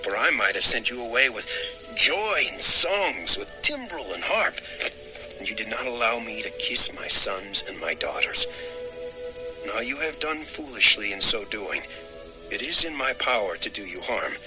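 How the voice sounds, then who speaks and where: A man's voice: mid-range voice, sing-song, measured pace, over music, low-quality recording. An actor playing an aggrieved, stern older father-in-law, accusing and declamatory, in a dramatized scripture recording. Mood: indignant, wounded.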